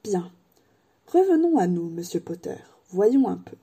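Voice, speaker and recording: An intense, eccentric old wand maker, as a woman reads him in an audiobook. His words come slow and deliberate, hushed, then sharp. The tone is dark and soft, with breath in it. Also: mid-range voice, big pitch swings, breathy edge, full character voice. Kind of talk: audiobook character voice.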